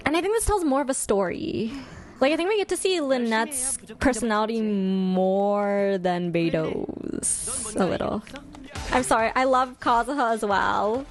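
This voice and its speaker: A woman streamer giving casual, chatty fan commentary to her live chat in an expressive, slangy way. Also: a little high, unhurried, soft background music. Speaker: streamer hosting a ranking video.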